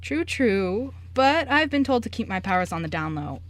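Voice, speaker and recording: A woman's voice: medium pitch, sing-song, normal speed, lightly acted. A woman playing a breezy young male journalist with secret magic in an amateur radio play, talking in a casual, joking, slangy way. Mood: friendly, sincere.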